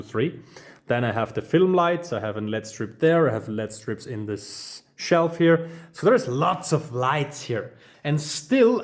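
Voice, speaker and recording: Brief: German-accented male trainer, thirties, upbeat and casual, talks viewers through it on a handheld phone. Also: mid-range voice. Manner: enthusiastic, casual, addresses viewers as guys. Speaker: smart home trainer. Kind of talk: hands-on tutorial walkthrough. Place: office studio room, indoors. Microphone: phone camera held while walking.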